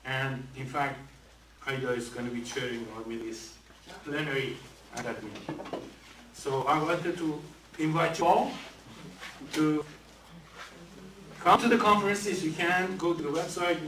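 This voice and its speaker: A man's voice: fairly high pitch, a little slow, pauses often. A man making an earnest announcement to an audience at an event. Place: museum event room with audience. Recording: echoey room from far off, faint noise.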